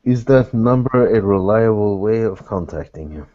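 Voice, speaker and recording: Man, deep mellow voice, measured formal phrasing, roleplaying in character, dull lo-fi recording. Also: expressive. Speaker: game master playing a character in a tabletop roleplay.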